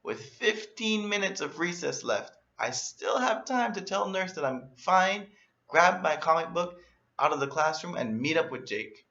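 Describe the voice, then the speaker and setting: A man reading a children's story aloud in an animated, expressive way, as a storyteller. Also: on the high side, a little slow, vocal fry, lo-fi sound. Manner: expressive, acts out emphasis and exclamations.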